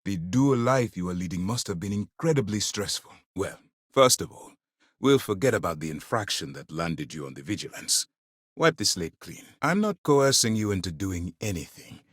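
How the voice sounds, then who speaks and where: A stern, low-voiced space navy commander. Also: male voice, sing-song, a bit bright, husky, no echo, clean studio sound, heavily acted. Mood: measured, formal. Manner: formal, measured, authoritative. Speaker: video game voice actor. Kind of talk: scripted game dialogue.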